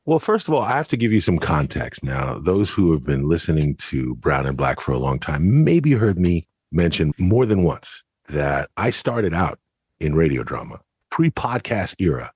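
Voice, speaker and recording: Co-host, American man in middle age: low raspy voice, animated conversational commentary, dry studio-like sound. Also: moderate pace, no room sound. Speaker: podcast co-host.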